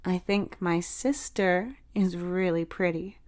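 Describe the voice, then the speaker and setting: A woman voicing a supportive young sister in a storybook, soft-spoken, reassuring and a little teasing. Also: fairly low pitch, takes it slowly. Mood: gentle, sincere. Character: supportive older sister, young, playful and tender. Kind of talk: dramatic audiobook character dialogue. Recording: music behind the voice.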